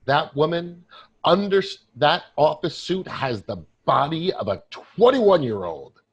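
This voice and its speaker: Husky male voice, expressive pitch, wry, disdainful office worker played by an actor, staged play reading. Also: measured pace, dull audio, heavily acted.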